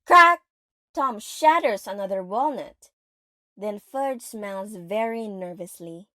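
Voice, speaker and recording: A woman's voice: mid-range voice, expressive, takes it slowly, sharp tone, no echo, studio quality. A woman reading scripted, dramatic third-person narration for an audio play.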